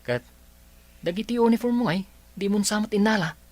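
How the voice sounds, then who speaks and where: A man's voice: sits high, fairly quick, close to an everyday voice. A man playing an easygoing friend who consoles the lead in a radio comedy drama.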